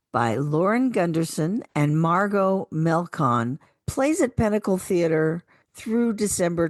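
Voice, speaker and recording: Low-voiced female radio co-host, dry sound. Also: a little mellow, dry recording. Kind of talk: scripted community calendar announcements.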